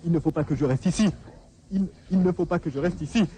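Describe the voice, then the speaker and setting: A man's voice: a little high, quick pace, warm tone, close to an everyday voice. An actor playing a frightened man hunted by an undead creature in a radio drama, anxious, stammering and repeating himself. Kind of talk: radio horror drama acting. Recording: clearly noisy radio drama track.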